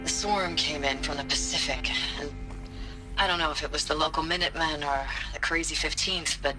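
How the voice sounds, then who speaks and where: An actor voicing a weary, grimly reflective soldier recording battle logs in a video game, somber and matter-of-fact. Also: male voice, a little high, steady tone, fairly quick, music in the background, close to an everyday voice. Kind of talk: dramatic video game monologue.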